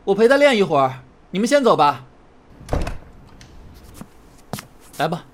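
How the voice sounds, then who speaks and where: Man acting a proud young idol dancer, animated. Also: high-pitched, fast talker, slight background noise. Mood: casual. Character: defiant, proud young male dancer in a pop group. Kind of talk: audio drama acting.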